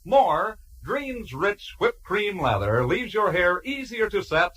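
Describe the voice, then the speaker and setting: Man acting a husky, high-pitched radio pitchman. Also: very animated, takes it slowly, fairly bright tone, heavily acted. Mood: gushing, emphatic. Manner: emphatic, gushing salesmanship. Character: gushing old-time radio announcer pitching a product. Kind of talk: 1940s radio commercial announcing.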